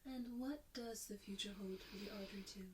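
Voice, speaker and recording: A woman playing a polite interviewer in a role play, asking measured questions, quiet and set back from the microphone. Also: medium pitch, lightly acted. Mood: politely curious. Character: female interviewer questioning a plant grower.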